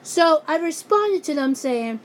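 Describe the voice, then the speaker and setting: A woman ranting angrily in a vlog, hoarse and losing her voice, often breaking off and restarting her sentences. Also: sits high, animated, measured pace. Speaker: YouTuber.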